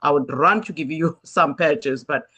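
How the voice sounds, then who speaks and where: A woman's voice: low voice, measured pace, sharp tone, breathy edge. Enthusiastic woman hosting a call. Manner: enthusiastic and effusive, laughs often. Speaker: host of a group video call. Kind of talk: casual group call chat.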